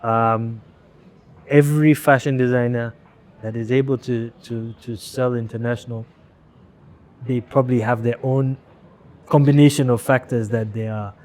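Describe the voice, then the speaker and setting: Man from rwanda, brand founder, guest on a podcast, kinda halting, keeps restarting words, middle pitch. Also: steady tone, darkish tone. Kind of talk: candid interview answers.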